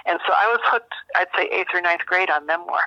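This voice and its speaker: A woman's voice: lowish voice, dry recording. Older American woman on a dull landline. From rural United States. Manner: halting, thoughtful, with long searching pauses. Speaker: interview guest, author and memoir teacher. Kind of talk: reflective interview talk. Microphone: landline telephone. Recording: dull, narrow landline phone sound.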